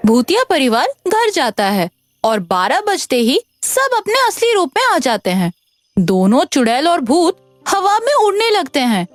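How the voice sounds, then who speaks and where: A woman narrating a scripted animated story for children. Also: sits high, measured pace, no room sound. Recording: music under the voice.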